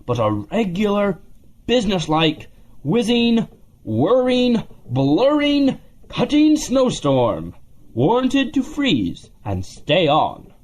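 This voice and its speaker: A man's voice: high-pitched, huge pitch swings, takes it slowly, a little mellow, heavily acted. A man playing an exuberant letter writer, declaiming theatrically and with emphasis in a family audio drama. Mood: playful, mounting gusto.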